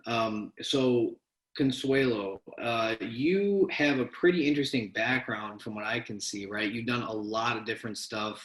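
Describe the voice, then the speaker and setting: A man's voice: medium pitch, unhurried, fairly bright tone. A man hosting a podcast interview, friendly and conversational, laughing easily as he chats with his guest. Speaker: podcast host. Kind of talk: casual interview chat. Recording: echoey room.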